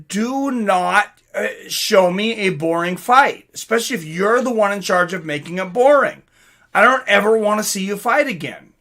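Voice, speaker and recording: Male fight-stream host, middle-aged, slightly high pitch, very bright forceful tone, casual rambling chat with viewers. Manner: rambling, reading out and answering chat comments. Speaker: livestream host and fight commentator.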